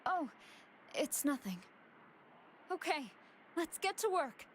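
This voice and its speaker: An actress playing a female android with a deliberate manner in an English anime game dub. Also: high voice, very animated, a little hiss or hum, heavily acted. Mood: composed, evasive.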